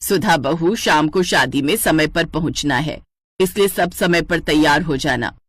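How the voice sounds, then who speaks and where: A woman voicing an older, plain-spoken mother-in-law who talks in a measured, instructing way in a story. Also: deep voice, a bit bright, slightly rough, no echo, voice pushed down, exaggerated voice.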